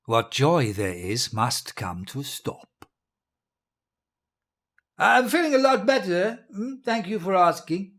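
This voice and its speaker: Crazy dying dictator man, audio play, talks real slow, super theatrical and all over the place, cruel n childish. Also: high-pitched, big pitch swings, heavily acted. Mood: cold, commanding, then brightly manic. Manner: theatrical, volatile, slow and deliberate, swinging from hush to bellow. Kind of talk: dramatic monologue in an audio drama.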